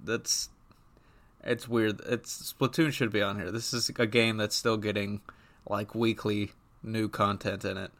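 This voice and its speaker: A man co-hosting a gaming podcast, chatting casually in an opinionated, halting way as he rephrases his thoughts. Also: on the low side, little pitch movement, a bit bright. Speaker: podcast co-host.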